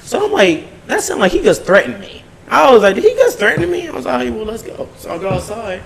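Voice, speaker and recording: A man telling a story in an animated, casual way, swearing freely in slangy speech and acting out both sides of arguments. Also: extremely high pitch, brisk, vocal fry, crowd noise. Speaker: podcast host recapping his weekend.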